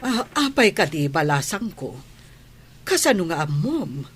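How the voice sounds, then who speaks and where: An actress voicing a kindly older former nanny in a radio comedy drama, with an Ilocano Filipino accent. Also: female voice, sits low, very animated, unhurried, slightly rough, exaggerated voice. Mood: fond, puzzled.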